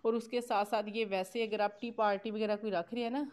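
A woman's voice: mid-range voice, steady tone, brisk, a little mellow. A woman with a Pakistani accent giving a casual, conversational cooking voice-over, explaining steps with repeated filler phrases. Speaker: cooking vlogger.

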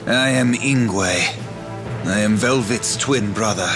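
An actor playing a cold, protective young sorcerer twin brother who speaks in a cool, mocking, measured way in a game cutscene. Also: male voice, on the low side. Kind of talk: video game cutscene dubbing. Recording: game audio with music behind.

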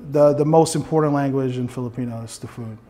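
A man's voice: medium pitch, fast, warm tone, faint music behind. A young man from Houston whose first language is Tagalog, hosting a documentary and chatting in a friendly, agreeing way. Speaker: documentary host. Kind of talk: casual conversation.

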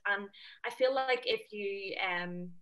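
A woman's voice: mid-range voice, slow, fairly bright tone, clear, resonant tone. A young Northern Irish woman, a panel guest on a video call, talking casually and hesitantly. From Northern Ireland. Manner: hesitant, with fillers. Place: small room indoors.

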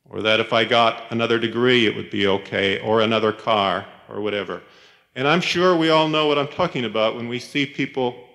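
A man's voice: medium pitch, a bit bright. Man giving a chapel talk in reverberant hall. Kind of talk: reflective sermon-like chapel talk. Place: large chapel, speaking to a congregation. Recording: reverberant chapel hall, 1990s cassette tape.

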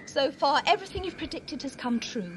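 A woman's voice: high-pitched, expressive, a little fast, fairly bright tone, slight background noise. A woman acting an anxious but determined mother in an audio drama.

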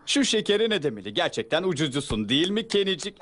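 A man playing a vain, scheming young rival suitor in an animated cartoon dub, with a smug, theatrical delivery. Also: on the high side, quick pace, a little hiss or hum. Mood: mocking, cocky.

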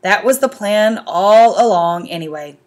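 A woman's voice: medium pitch, animated, unhurried, natural voice. A woman voicing a worried, overprotective middle-aged mother who talks anxiously. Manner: anxious, apologetic.

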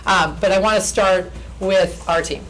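A woman attorney with a naturally loud voice, making an earnest, persuasive presentation at a podium in a county meeting room. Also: medium pitch, fairly even intonation, measured pace, a little hiss or hum, rough recording. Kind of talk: formal pitch presentation. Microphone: podium microphone.

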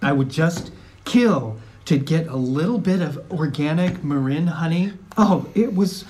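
Fussy high-voiced zombie, lively intonation. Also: male voice, unhurried, slightly rough. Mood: wistful. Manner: hesitant, earnest, soothing, easily hurt. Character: gentle, fussy, skinny zombie housemate, anxious to please. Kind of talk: animated film dialogue.

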